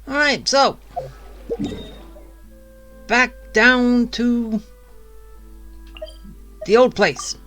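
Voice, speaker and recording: Casting: female let's-play commentator, casual, extremely unhurried, music in the background. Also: on the high side, fairly bright tone. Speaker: game streamer.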